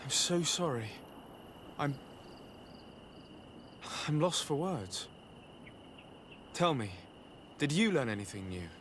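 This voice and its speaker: A man playing a calm, diplomatic adventurer in a video game cutscene, with a measured, polite, earnest delivery. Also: fairly high pitch, slight background noise, heavily acted. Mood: grave. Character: calm, diplomatic male adventurer investigating abuses.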